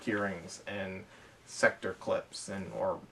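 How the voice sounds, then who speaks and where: Low-voiced man, sitting back from the mic. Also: level tone, takes it slowly, a little hiss or hum. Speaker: podcast co-host. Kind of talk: casual hobby chat about airsoft gear. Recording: distant from the microphone.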